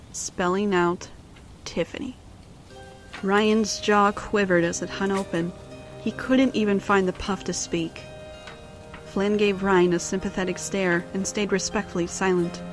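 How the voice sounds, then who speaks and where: A woman narrator tells a children's-style story in scripted prose. Her voice is mid-pitched, with music clearly playing behind it.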